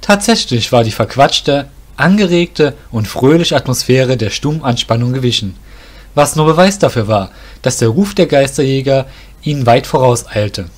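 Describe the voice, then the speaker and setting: A man's voice: mid-range voice, animated, normal speed. A man reading scripted narration for a fantasy audio drama.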